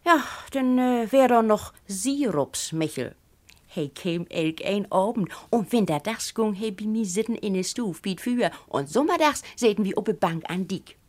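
A woman performing a proud, chatty village widow in Low German dialect, as an animated dramatic reading. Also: unhurried, close to an everyday voice. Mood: reminiscing. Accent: Low German, northern Germany.

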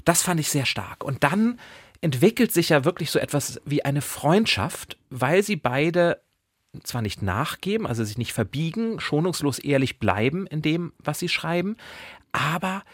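A man's voice: fairly high pitch, lively intonation, moderate pace, no room sound. Man discussing books, dry close sound. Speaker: podcast host discussing books. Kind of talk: conversational book discussion with short readings.

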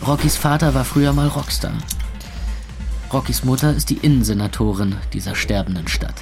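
An actor playing a weary, cynical young club owner in a radio play, narrating and talking with dry sarcasm. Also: male voice, medium pitch, moderate pace, gravelly, a little mellow, soft background music, natural voice. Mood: dry, gloomy. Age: young adult. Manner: dry, sardonic.

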